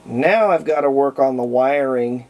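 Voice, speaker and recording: A man narrating his repair of an old radio in a halting, casual way, thinking aloud as he works. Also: mid-range voice, darkish tone. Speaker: radio restorer.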